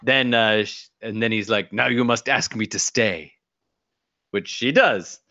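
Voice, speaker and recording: A man's voice: lowish voice, measured pace, sharp tone, dry recording. Man recapping in a dry dull mix. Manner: summarizes scenes and acts out quoted lines. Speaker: podcast co-host recapping an episode. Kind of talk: casual recap chat.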